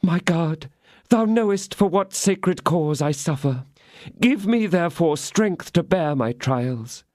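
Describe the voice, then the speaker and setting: A man's voice: on the high side, expressive, slow pace, darkish tone, no echo, studio quality, voice pushed up. A man voicing a scheming woman prisoner who pleads and prays in feigned, sobbing despair. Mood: praying.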